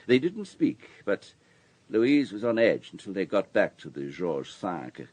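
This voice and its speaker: A man's voice: lowish voice, sing-song, unhurried, a little mellow, slightly rough, heavily acted. An actor in a radio drama playing an urbane, wealthy businessman with a polished, courteous, storytelling manner. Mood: confiding, measured. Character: urbane, wealthy older businessman, discreet and self-assured.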